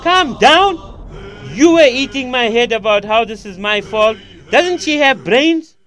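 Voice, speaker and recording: An enraged middle-aged father voiced by a man in a radio play, barking commands in furious bursts. His voice sits unusually high and rings bright and sharp. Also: animated, measured pace, exaggerated voice. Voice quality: shouting, furious outbursts. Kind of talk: audio drama acting.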